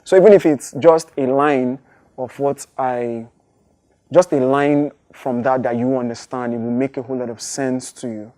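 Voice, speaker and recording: A man's voice: medium pitch, fairly even intonation, normal speed, warm tone. A young Nigerian man with a Nigerian accent, a native Igbo speaker and hip-hop artist, giving thoughtful interview answers with frequent restarts and fillers.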